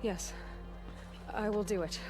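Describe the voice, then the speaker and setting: A woman's voice: mid-range voice, steady tone, slow pace, lightly acted. Young woman as defiant outcast heroine, game drama; mid-range voice, blunt, earnest, music underneath. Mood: hesitant, resigned. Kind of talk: scripted game cutscene acting.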